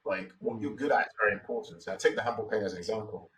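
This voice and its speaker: A man's voice: on the low side, rapid-fire, a bit bright, recorded from a distance, rough recording. A Nigerian man in his forties, telling his story as an interview guest in a thoughtful, reflective way with many "you know" fillers. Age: 40s.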